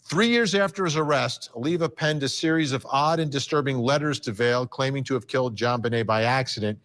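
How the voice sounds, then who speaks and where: A man's voice: mid-range voice, brisk, a bit bright. A man interviewing a guest, reading passages from a report aloud and then asking questions. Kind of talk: television interview.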